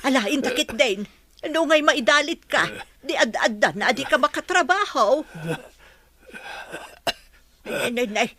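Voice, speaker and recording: A woman's voice: high-pitched, expressive, a little slow, long pauses, pushed and bright, no echo, exaggerated voice. A woman with an Ilocano Filipino accent playing an anxious wife and mother fretting over her sick husband in a radio drama. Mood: anxious, urgent.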